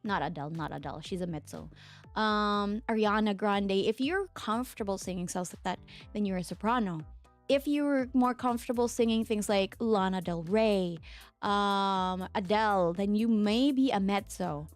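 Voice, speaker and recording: A young woman from the Philippines talks casually on a desk mic, rambling with fillers and frequent laughs as she answers her viewers. A lingering sore throat keeps her voice low. Faint music plays underneath. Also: moderate pace. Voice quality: mezzo-soprano singing voice. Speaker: streamer. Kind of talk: casual chat with chat readers.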